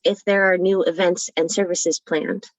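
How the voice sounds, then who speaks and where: A woman's voice: fairly low pitch, level tone, moderate pace, no room sound, rough recording. A woman chairing a student association meeting, calling roll and introducing questions in a casual, informal way. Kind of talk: running a meeting.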